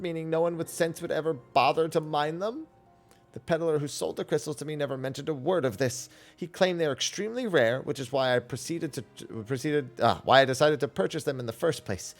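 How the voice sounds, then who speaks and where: A man voicing a boastful, smooth-talking merchant from a video game, with florid sales patter. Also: a little high, voice pushed up, close to an everyday voice. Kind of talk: game character dialogue read aloud.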